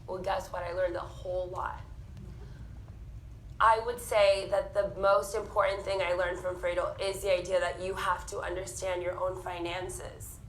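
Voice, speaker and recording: A woman in her thirties telling her personal story candidly on a theatre stage, animated and frank. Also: fairly low pitch, moderate pace, reverberant, slight background noise, poor audio. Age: 30s. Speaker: panel speaker.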